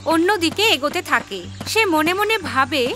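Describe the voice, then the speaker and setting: A woman's voice: very high voice, lively intonation, measured pace, music in the background. A woman narrating a scripted children's story as the storyteller.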